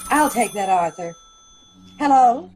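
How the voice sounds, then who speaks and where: A woman's voice: a little high, animated, unhurried, a little mellow, a little hiss or hum. A woman playing a composed, wry detective's wife, dryly teasing, in an old-time radio mystery.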